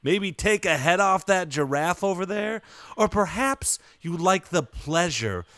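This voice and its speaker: An actor playing a cocky vampire biker thug, goading and theatrical with mock-grandiose flourishes. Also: male voice, high-pitched, lively intonation, unhurried, a bit bright, no echo, exaggerated voice. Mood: goading, smug. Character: cocky male biker-type vampire thug, taunting ringleader.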